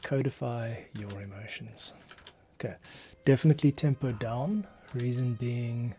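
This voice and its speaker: A man giving a casual screencast tutorial, thinking aloud and muttering to himself with pauses as he works. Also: medium pitch, dark tone. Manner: muttering to himself, pausing while working. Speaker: composer, streamer host. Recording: faint noise, keyboard and mouse clicks. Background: typing, mouse clicks.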